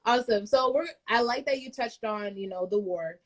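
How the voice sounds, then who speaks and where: A woman's voice: medium pitch, moderate pace, a little hiss or hum. Talk show host, woman, upbeat and chatty, joking with her guest. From United States. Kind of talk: casual live-stream talk show.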